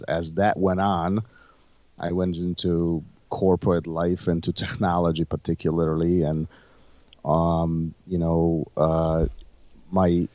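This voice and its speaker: A middle-aged man from Poland, a jiu-jitsu instructor, answering interview questions in a measured, hesitant way. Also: low voice, no room sound. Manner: measured, hesitant, many fillers and restarts. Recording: dull lo-fi sound.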